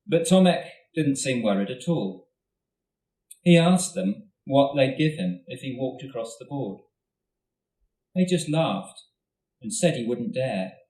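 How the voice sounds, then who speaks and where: Brief: male author reading a story aloud to a live audience, measured and steady, mid pitch, in a roomy hall with the mic at a distance. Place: literature festival event hall.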